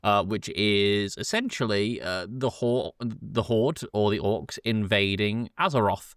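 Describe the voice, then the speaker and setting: A man's voice: fairly low pitch, unhurried, fairly bright tone, clean tone, dry recording, studio quality. A man hosting in a casual, chatty way, explaining things loosely and often stopping to rephrase himself. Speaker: podcast host. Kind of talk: casual chat with loose, informal summarising.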